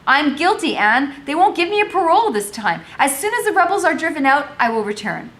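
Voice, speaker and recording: A woman's voice: sits high, big pitch swings, fairly quick, fairly bright tone, voice pushed up, full character voice. A woman voicing a domineering, hunted colonial husband, urgent and commanding, in a read-aloud of a historical novel. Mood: anxious, urgent.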